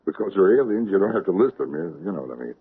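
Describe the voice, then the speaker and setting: A pushy businessman with a lowish, husky voice that rises and falls a lot. He leans on people to get his way. It sounds tinny, like an old radio broadcast. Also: sing-song, racing, full character voice. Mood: scheming. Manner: pushy, wheedling. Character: pushy, self-serving restaurant owner. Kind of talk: 1970s radio drama acting.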